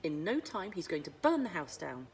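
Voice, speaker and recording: Fretful wife, voiced by a woman reader, emphatic complaints, picture-book delivery, lowish voice with lively intonation. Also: moderate pace, slight background noise. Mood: appalled. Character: appalled, fretful wife and mother, at wits' end.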